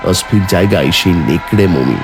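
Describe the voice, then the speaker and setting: A man's voice: low voice, expressive, moderate pace, music in the background. A man narrating a scripted horror story in the first person, in a dramatic, suspenseful manner.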